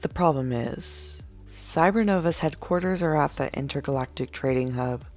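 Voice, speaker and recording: Low-voiced, level-headed female detective, woman acting. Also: normal speed, a little mellow, faint music behind. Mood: explaining. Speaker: voice actor in a fan animated film. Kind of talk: scripted amateur dramatic dialogue.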